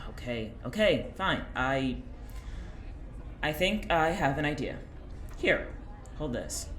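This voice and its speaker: Male for audio-drama prisoner: exasperated, sarcastic, very expressive intonation, echoing room. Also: a little high, slow, heavily acted. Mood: dry exasperation.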